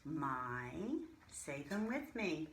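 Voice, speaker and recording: Very slow-paced woman teacher, bright and lively. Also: low-pitched. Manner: deliberate, enunciates single words, addresses children directly. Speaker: primary school reading teacher. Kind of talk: read-aloud reading lesson for young children. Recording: roomy echo, faint background noise.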